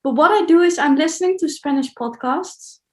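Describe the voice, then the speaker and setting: A woman's voice: sits high, brisk, roomy sound. A woman from the Netherlands with a Dutch accent, answering interview questions in a casual, thoughtful, hesitant way. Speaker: interview guest, solo traveller.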